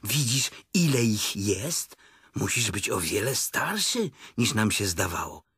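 A man's voice: lowish voice, very animated, slow, fairly bright tone, slightly rough, no room sound, studio quality, full character voice. A man voicing a small, anxious, earnest male piglet in a children's story, eager and naive. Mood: eager, admiring.

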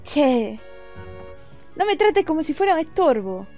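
A woman's voice: high-pitched, big pitch swings, a little slow, dark tone, faint music behind, full character voice. A woman voicing a brash, scolding young stage actress from a video game, with an attempted Argentine accent. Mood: indignant.

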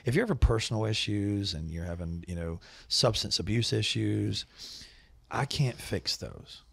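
A middle-aged man hosting a podcast in a studio set on a boom mic, talking casually and growing animated and emphatic as he makes his points. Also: normal speed, vocal fry, husky, no echo. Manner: animated, gets loud and emphatic when making a point. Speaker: podcast host, business owner. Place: studio set with wooden walls and shelves. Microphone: boom mic with headphones.

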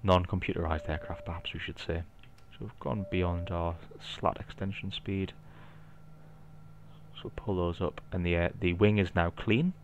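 Geordie male, deep, easygoing explainer thinking aloud, slightly muffled home-recorded sound. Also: moderate pace. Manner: relaxed, explanatory, thinking aloud with light asides. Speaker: flight simulator video maker. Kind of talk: casual narrated gameplay walkthrough.

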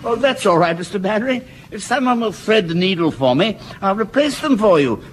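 An actor playing a gentle, obliging older man, a former gentleman's outfitter, in a radio sitcom. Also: sits high, animated, a little fast, slight background noise. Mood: kindly. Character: gentle elderly male former shop outfitter. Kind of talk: 1970s radio sitcom acting.

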